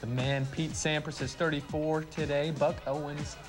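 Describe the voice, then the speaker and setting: A man's voice: a little high, little pitch movement, moderate pace. A man presenting an ad-libbed morning weather forecast and chatting as co-presenter in a TV studio. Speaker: TV meteorologist and co-presenter. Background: faint music, director's cues talking over him.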